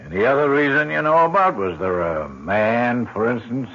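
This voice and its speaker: An actor playing a weary, matter-of-fact police lieutenant in a radio drama, blunt and skeptical, with hesitant pauses. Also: male voice, mid-range voice, animated, pushed and bright, a little hiss or hum. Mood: probing. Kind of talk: 1970s-style radio drama acting. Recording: dull lo-fi old radio broadcast.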